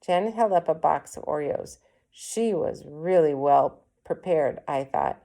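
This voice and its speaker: A woman reading a children's story aloud as an expressive storyteller. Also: sits low, a little slow, creaky. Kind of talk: expressive children's book narration.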